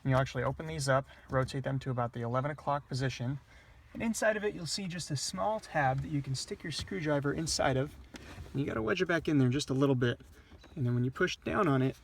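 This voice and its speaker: A man's voice: medium pitch, quick pace, vocal fry, a little hiss or hum. A man presenting a casual, hands-on installation tutorial, explaining each step in a plain-spoken way. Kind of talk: casual hands-on how-to instruction. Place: in or at a car, working on the dashboard.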